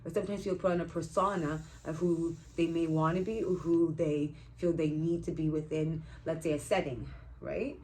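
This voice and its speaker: A woman's voice: low-pitched, fairly even intonation, normal speed, a little mellow, rough recording. A woman from Canada chatting casually and rambling a little as she co-reviews a movie in a vlog.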